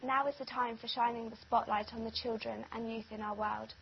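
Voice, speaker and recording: A woman's voice: on the high side, flat delivery, a little fast, gravelly, dull audio, a little hiss or hum. A young woman reading a scripted video voice-over in an earnest, appealing way.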